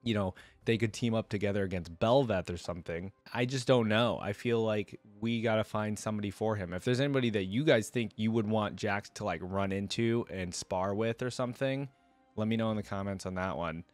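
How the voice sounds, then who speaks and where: Low-pitched man giving casual reactions. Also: a little fast, no echo, faint music behind. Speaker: reaction video host.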